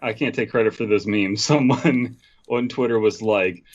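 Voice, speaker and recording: A man's voice: lowish voice, steady tone, moderate pace, dull audio. A man hosting a comedy podcast, reading a story aloud with casual joking asides.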